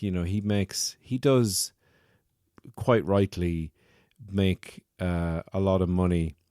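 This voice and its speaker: A man's voice: deep voice, mellow, dry recording, studio quality. A man hosting a solo comedy podcast, talking in a wry, rambling, self-mocking way. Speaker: podcast host.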